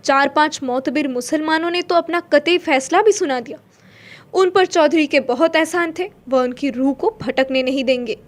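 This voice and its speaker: A woman's voice: sits high, moderate pace. A woman narrating a literary story in a dramatic audio-play style. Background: faint music.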